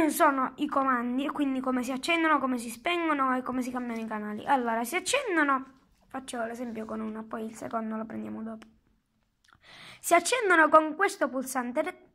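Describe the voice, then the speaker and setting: High bright voice, explaining a gadget slowly and haltingly, casual. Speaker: product reviewer. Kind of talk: casual hands-on product demonstration. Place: indoors at a table at home. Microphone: handheld phone.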